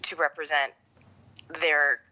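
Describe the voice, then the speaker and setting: A middle-aged woman from Canada talking as a podcast guest in a measured, reflective, conversational way. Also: sits low, flat delivery, sharp tone, rough recording. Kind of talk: conversational interview.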